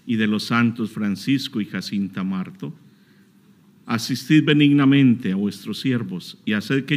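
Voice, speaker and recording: A man priest leading prayers and blessings at an outdoor shrine Mass, in a solemn recited cadence. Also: mid-range voice. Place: outdoor shrine chapel altar.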